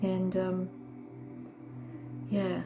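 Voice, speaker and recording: A woman talks through a tarot spread in a slow, warm, hoarse voice. Her throat is sore, and she stops often, leaning on "okay" and "um" as she goes. Soft music plays faintly behind her. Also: fairly low pitch, monotone, highs cut off. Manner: halting, many fillers and "okay"s. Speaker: tarot reader. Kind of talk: tarot reading monologue.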